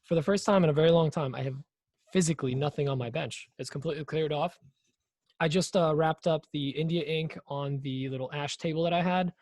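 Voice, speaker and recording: A man co-hosting a casual podcast, talking through his workshop projects in a relaxed way, thinking aloud with fillers. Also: a little high, a little fast, no room sound. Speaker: podcast co-host. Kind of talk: casual chat.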